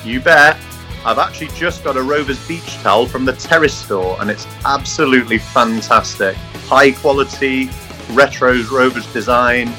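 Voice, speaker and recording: A man acting out a scripted comic phone-call advert, pitching a product excitedly. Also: normal speed, soft background music.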